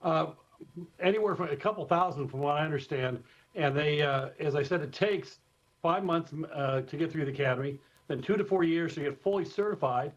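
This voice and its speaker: American guy, expert guest explaining stuff, slightly high voice, measured, kinda muffled remote interview audio. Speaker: expert guest, former airport communications director. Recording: dull, slightly lo-fi remote feed.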